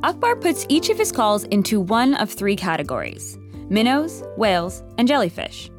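A woman narrates a scripted audio drama. Her mid-range voice is full of movement as she tells the story in a measured, wry way. Faint music runs underneath. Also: medium pitch, very animated.

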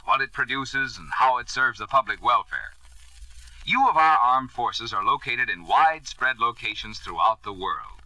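A man's voice: medium pitch, pushed and bright, no room sound, poor audio. A man reading a scripted 1950s radio public service announcement in an earnest, emphatic and formal way.

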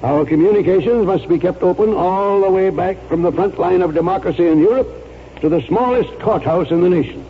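High-voiced, pompous old retired colonel. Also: male voice, slight background noise. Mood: resolute, orating. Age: 60s or older. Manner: pompous, deliberate, orating. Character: stubborn, pompous retired old army colonel in his sixties. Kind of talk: 1940s radio drama acting. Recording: dull vintage radio broadcast, faint music.

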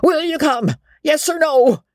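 A woman voicing a gruff, blustering old country baronet who pleads insistently in rustic, ungrammatical speech, in an audiobook. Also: sits high, measured pace, dry recording, clean studio sound, pitched above the performer's own voice. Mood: pleading, urgent.

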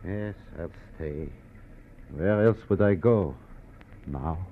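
A man's voice: low-pitched, slow, heavy background noise. An actor playing a distraught ballet impresario near breakdown in 1940s radio drama style, theatrical and broken in his phrasing, repeating words. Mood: anguished, weary. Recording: old radio broadcast, thin phone-like sound.

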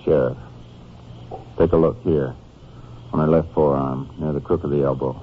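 Casting: male Texas Ranger lead, very low voice, terse, polite, resolute, muffled hissy vintage radio sound. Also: steady tone, moderate pace. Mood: grave. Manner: matter-of-fact, clipped, polite. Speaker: lead detective and case narrator. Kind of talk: old-time radio crime drama, terse.

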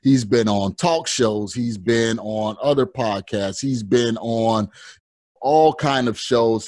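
Male podcast host, medium pitch, enthusiastic and casual, dry close sound. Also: no echo. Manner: enthusiastic, repeats words and phrases. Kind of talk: upbeat show introduction and casual interviewing.

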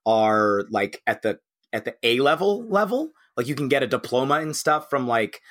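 A man's voice: mid-range voice, big pitch swings, normal speed, fairly bright tone. Chatty American man, laughing a lot. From United States. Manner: laughing often, self-interrupting and chatty. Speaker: podcast co-host. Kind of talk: casual chat.

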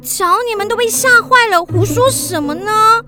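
A woman voicing a brisk, reassuring schoolteacher who coaxes and chides children in a radio drama. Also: very high voice, music in the background, voice pushed up. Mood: chiding, soothing. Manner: lively, coaxing, chiding speech to children.

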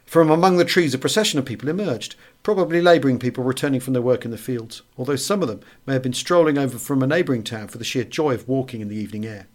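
Male audiobook narrator, mid-pitched voice, for literary first-person wartime memoir. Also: fast talker, few pauses.

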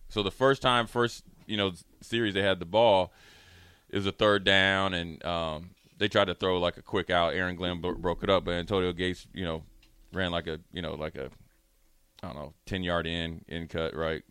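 A man telling casual sports stories as a radio co-host, animated and acting out what people said. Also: low voice, moderate pace, smooth, no echo. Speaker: radio show co-host, former pro football player.

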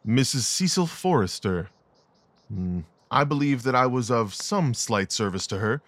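A man playing a brisk, analytical Victorian gentleman detective in an audio drama, terse, formal and detached. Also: medium pitch, expressive, no echo. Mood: detached, curious.

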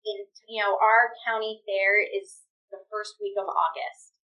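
A woman from New York State who inspects livestock at county fairs, chatting casually on a podcast and telling animated stories. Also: mid-range voice, a little slow, far from the mic, lo-fi sound. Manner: chatty, animated storytelling with acted-out quotes. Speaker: livestock fair inspector and guest.

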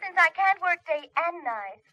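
An actress playing a sassy young secretary in a 1950s radio drama, with perky, bantering talk. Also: female voice, very high-pitched, sing-song, moderate pace, bright, forceful tone, highs cut off, full character voice. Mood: chirpy.

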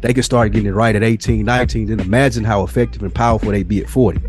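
A man's voice: fairly low pitch, fairly even intonation, rapid-fire, a little mellow, soft background music. An American man co-hosting a podcast, talking in an earnest, motivational way with casual, slangy phrasing. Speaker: podcast co-host.

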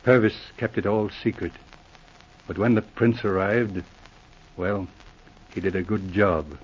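An actor playing a brisk, no-nonsense male police inspector in a 1940s radio drama, who speaks in a crisp, commanding, matter-of-fact way. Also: lowish voice, steady tone, slight background noise, lightly acted. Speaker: lead investigator. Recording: dull lo-fi 1940s radio recording.

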